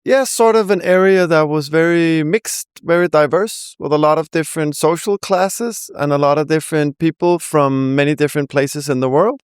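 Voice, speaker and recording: Danish-accented young woman, guest rapper; smooth, dry close voice, giggly storyteller. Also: deep voice, normal speed, few pauses, a little mellow, dry recording. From Denmark. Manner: chatty, laughs often, rambling asides. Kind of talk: casual interview chat.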